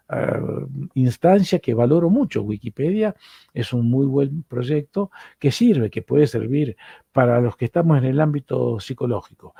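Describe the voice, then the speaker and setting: Gravelly Argentine man lecturing. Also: medium pitch, warm tone, dry recording. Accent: Argentine Spanish. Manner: hesitant, repeats words while searching for them. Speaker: psychoanalyst lecturer.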